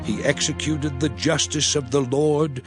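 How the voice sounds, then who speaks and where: A man playing Moses, a solemn patriarch, in a declamatory, measured dramatized scripture reading. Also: on the high side, fairly even intonation, music in the background, natural voice. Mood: solemn, exalted.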